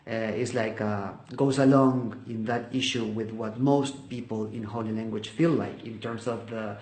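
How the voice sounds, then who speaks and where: A man whose first language is Spanish, talking casually to the camera about his Bible study videos, halting, with many fillers and restarts. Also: lowish voice, steady tone, a little mellow. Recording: echoey room.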